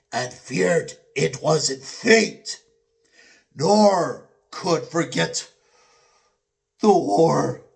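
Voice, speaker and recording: Male reader for classical epic verse, theatrical and declamatory, extremely slow with long pauses and highly animated intonation. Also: gravelly. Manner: declamatory, theatrical, with drawn-out pauses between phrases. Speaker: poetry reader.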